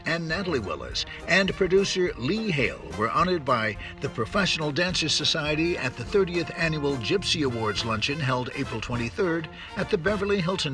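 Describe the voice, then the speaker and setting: A male narrator delivers a scripted read with very lively, varied intonation. He sounds slightly removed from the microphone, with clear music playing behind him. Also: fairly high pitch, far from the mic.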